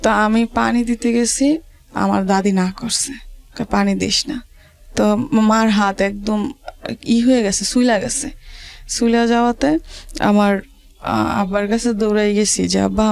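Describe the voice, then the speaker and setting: A woman with a rural Bangladeshi accent, telling her life story to an interviewer in halting, repetitive dialect. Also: mid-range voice, fairly even intonation, gravelly, a little mellow.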